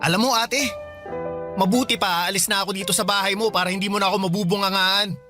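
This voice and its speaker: A man's voice: high-pitched, steady tone, quick pace, over music, close to an everyday voice. A man playing a defiant, resentful young brother arguing in a radio drama. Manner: argumentative, defiant. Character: rebellious, resentful young jobless brother lashing out. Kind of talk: radio drama dialogue in Tagalog love story.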